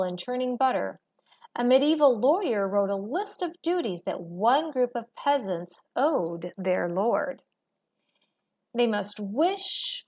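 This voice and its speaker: A woman reading a history textbook aloud as a teacher, in a measured, expository manner. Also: a little high. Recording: dull, lo-fi recording.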